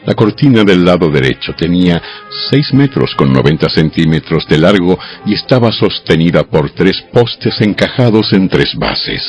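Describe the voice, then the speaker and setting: A man narrating scripture from a script in a measured, solemn, deliberate manner. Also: low voice. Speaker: audio Bible narrator. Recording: dull lo-fi audio with background music. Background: background music.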